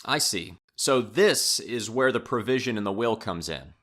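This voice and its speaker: A man's voice: on the low side, expressive, unhurried, fairly bright tone. An actor playing a patient, puzzled man in authority who questions people formally in an audio drama. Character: measured, puzzled estate arbiter hearing a dispute. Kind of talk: acted audio drama dialogue.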